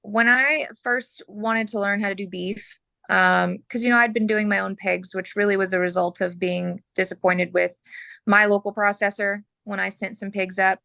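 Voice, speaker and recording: A woman from Oklahoma, a butcher, talking frankly as a podcast guest while losing her voice and clearing her throat. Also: mid-range voice, fairly even intonation, moderate pace, pushed and bright, no echo. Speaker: butcher and mobile processor owner.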